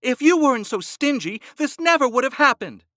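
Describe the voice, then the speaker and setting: A man's voice: extremely high pitch, huge pitch swings, a little slow, no echo, studio quality, voice pushed up, exaggerated voice. A man voicing a quarrelsome wife in a storybook, wailing and scolding her husband. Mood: shrill scolding. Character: quarrelsome shrill village wife.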